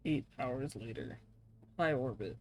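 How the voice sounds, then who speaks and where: A man's voice: mid-range voice, unhurried, poor audio. A man co-hosting a let's play, making short informal remarks in casual chat about the game. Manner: informal, brief offhand remarks. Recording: music playing behind the voice.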